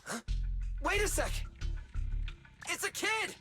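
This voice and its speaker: An actor voicing a brash, excitable young male gunslinger in a video game, loud and eager. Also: extremely high pitch, slow pace, full character voice. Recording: game music behind.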